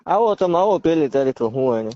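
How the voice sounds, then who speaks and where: A man speaks on a phone call in a slightly raised register. He keeps things calm, brushing off complaints and repeating his invitations. The line sounds dull and lo-fi. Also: a little high, a little fast, dry recording. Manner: placating, keeps inviting the caller over. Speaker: faith healer taking a call.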